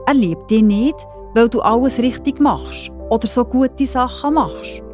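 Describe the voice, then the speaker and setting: A woman's voice: fairly high pitch, a little slow, darkish tone. Swiss German woman narrating over music. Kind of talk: scripted children's Bible storytelling. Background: background music.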